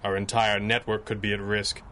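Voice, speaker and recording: An actor playing a stern, resolute masked crime-fighter with grave, clipped, formal speech in a 1930s radio adventure style. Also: male voice, lowish voice, completely flat delivery, moderate pace, sharp tone. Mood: grave, suspicious.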